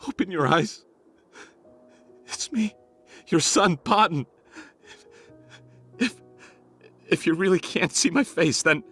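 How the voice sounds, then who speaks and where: A man's voice: a little high, pushed and bright, slightly rough, exaggerated voice. An actor playing a desperate young man in a game, stammering and sobbing as he pleads with his dying mother. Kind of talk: game cutscene voice acting.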